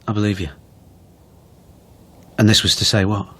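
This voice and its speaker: A man's voice: lowish voice, level tone, moderate pace, fairly bright tone, husky. An actor playing an anxious, reluctant grown son in a naturalistic radio drama, hesitant and defensive in his speech. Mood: reluctant, doubtful. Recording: background noise behind radio drama dialogue.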